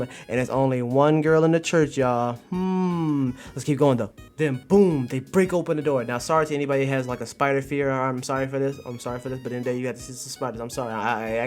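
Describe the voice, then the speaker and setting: A young American man giving casual, playful livestream commentary to his chat, telling stories in a slangy, exaggerated way. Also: medium pitch. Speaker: streamer and content creator. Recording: music behind the voice. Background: music.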